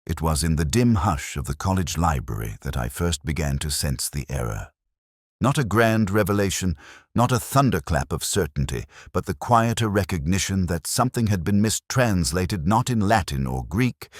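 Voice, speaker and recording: An extremely low-pitched man narrates detective fiction in the first person. His delivery is measured and brooding, with lively rises and falls in pitch. The recording is dry and close, with very little room sound. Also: no room sound.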